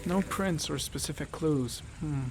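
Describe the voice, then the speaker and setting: A man's voice: a little high, measured pace, noisy background, voice pushed up. A man voicing a cool, analytical male FBI agent in a crime game, with dry, clipped remarks.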